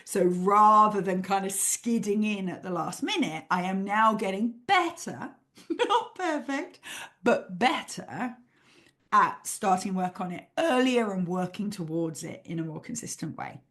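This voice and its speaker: A woman hosting a podcast as a PhD life coach, her voice a little croaky after flu, talking in an upbeat, chatty way with frequent laughs. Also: medium pitch, expressive, unhurried, a bit bright. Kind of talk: casual solo podcast advice.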